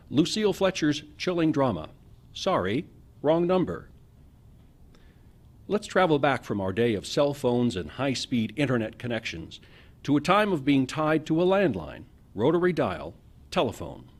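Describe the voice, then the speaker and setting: Guy introducing a radio play, theatrical and deliberate, really animated pitch, mid-range voice. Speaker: announcer. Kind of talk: scripted radio drama introduction.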